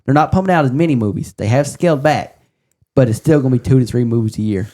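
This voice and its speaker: Mellow-voiced man chatting casually. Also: medium pitch, fast. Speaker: podcast co-host. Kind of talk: casual pop-culture chat.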